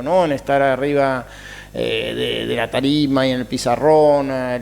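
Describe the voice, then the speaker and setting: A man with an Argentine accent, a writer and teacher, answering interview questions thoughtfully, with frequent hesitations and soft chuckles. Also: on the high side. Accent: Argentine Spanish.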